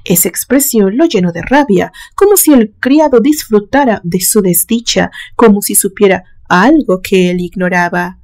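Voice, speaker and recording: A woman reads a gothic suspense story as its narrator. Her voice is mellow and medium in pitch, and her delivery is solemn and dramatic. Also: normal speed, few pauses. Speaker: narrator, literary channel host.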